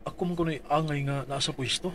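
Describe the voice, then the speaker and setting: A man's voice: fairly high pitch, fairly even intonation, quick pace, a bit bright. A man reading out his dramatized complaint letter for a radio advice show, earnest and pleading.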